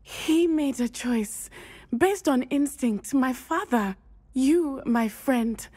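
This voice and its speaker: A woman's voice: sits high, lively intonation, slow pace, a little mellow, slightly rough, full character voice. A woman playing an earnest, brave teenage schoolgirl witch in a video game, with formal, precise phrasing. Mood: earnest, emotional. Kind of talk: scripted video game cutscene acting.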